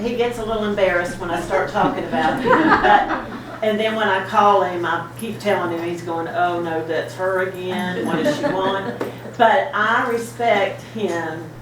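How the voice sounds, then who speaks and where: A woman on the school board giving a chatty, laughing tribute speech at an awards event. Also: mid-range voice. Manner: warm, chatty, laughing storyteller. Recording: echoey hall from far off, noisy.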